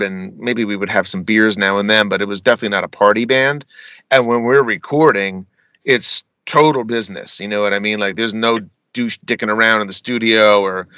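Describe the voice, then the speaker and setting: Rambling middle-aged metal singer, bright voice. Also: male voice, fairly low pitch, no room sound. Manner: rambling, says "you know what I mean" often, laughs between stories. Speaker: interview guest, metal singer. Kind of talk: casual storytelling interview.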